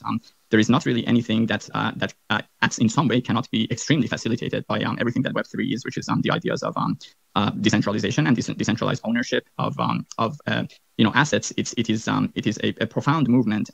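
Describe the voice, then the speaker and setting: Male host, rapid and gravelly, rambling with fillers, dry dull recording. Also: on the low side, no room sound. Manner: rambling, many fillers and restarts. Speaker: host, physician. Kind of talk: casual interview chat.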